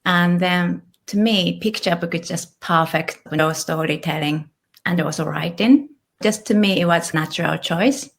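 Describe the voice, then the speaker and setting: A Japanese woman in her thirties, speaking English with a Japanese accent as an interview guest, thoughtful and relaxed with small restarts. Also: fairly low pitch, a little slow, vocal fry. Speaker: guest, author and illustrator. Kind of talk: casual interview conversation.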